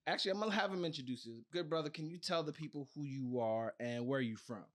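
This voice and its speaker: A man's voice: a little high, fast. A man with an American accent, hosting a podcast as a conversational interviewer. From Brooklyn, New York, United States. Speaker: podcast host interviewing a guest.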